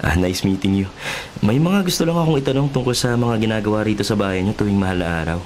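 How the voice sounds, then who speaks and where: A man's voice: on the low side, fast, a little mellow, slight background noise, natural voice. A man playing a polite, earnest journalist in a Filipino radio drama, courteous and inquisitive. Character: polite, earnest male journalist making a documentary.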